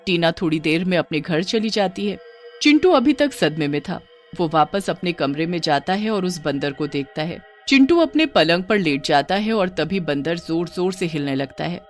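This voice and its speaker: A woman's voice: fairly low pitch, lively intonation, moderate pace, faint music behind. A woman narrating a scripted children's horror story.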